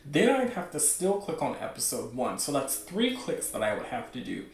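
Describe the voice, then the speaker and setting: A man hosting a show with casual, unscripted commentary, rambling as he thinks out loud. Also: medium pitch, huge pitch swings, normal speed. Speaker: host of a webcomic news show. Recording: roomy, echoing sound.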